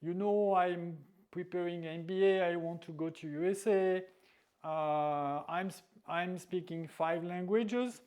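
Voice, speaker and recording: Slow, halting man. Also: high voice, darkish tone, clear, resonant tone. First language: French. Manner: halting, searching for words, many restarts. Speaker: dating advice vlogger. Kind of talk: storytelling monologue.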